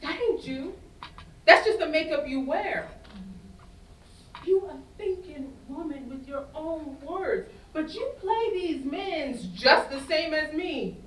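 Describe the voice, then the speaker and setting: A woman's voice: high voice, expressive, slow. A woman playing a worldly, cynical, hard-bitten Creole woman in a staged play reading, speaking bluntly and knowingly in vernacular. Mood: urging, blunt. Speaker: student actor in a staged reading. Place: indoor festival staged reading. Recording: echoey room, distant mic, faint noise.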